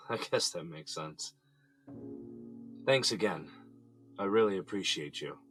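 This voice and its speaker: Man playing a deep-voiced, earnest jailed American. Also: unhurried, fairly bright tone, soft background music. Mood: reflective, grateful. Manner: plainspoken, earnest, puzzled. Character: earnest, troubled man jailed for murder, protesting innocence. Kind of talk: audio drama dialogue. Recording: noticeable background ambient noise.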